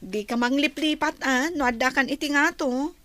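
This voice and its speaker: A woman's voice: sits high, normal speed, fairly bright tone, no room sound. A woman with an Ilocano Filipino accent playing a friendly, curious young nurse in a radio serial, chatty and teasing. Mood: cheerful, teasing. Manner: chatty, inquisitive. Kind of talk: radio serial drama acting.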